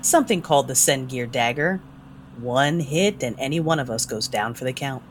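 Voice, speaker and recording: An actress playing a tough, bossy vampire fixer in an audio drama, speaking in a blunt, commanding way. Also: female voice, very deep voice, moderate pace, a little hiss or hum. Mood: cool, businesslike. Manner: blunt, commanding, hard-edged.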